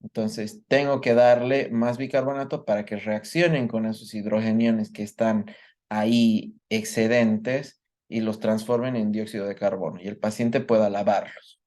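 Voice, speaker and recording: A man's voice: fairly low pitch, flat delivery, normal speed, a little mellow. A man giving a medical lecture, explaining step by step and checking that his students follow. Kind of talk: live online workshop lecture.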